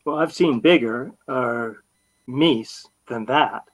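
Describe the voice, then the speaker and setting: A man's voice: mid-range voice, takes it slowly. An actor playing a bumbling, sheepish customer who stammers through his bluffs in an old-time radio comedy. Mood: boastful bluster. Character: flustered, bumbling male customer bluffing as big-game hunter.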